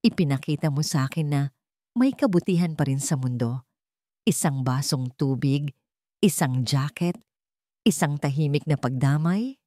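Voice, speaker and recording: A woman voicing a gentle, forgiving woman executive who speaks sincerely and tenderly in an audio romance drama. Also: low-pitched, lively intonation, normal speed, long pauses, no room sound, clean studio sound. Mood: grateful, heartfelt.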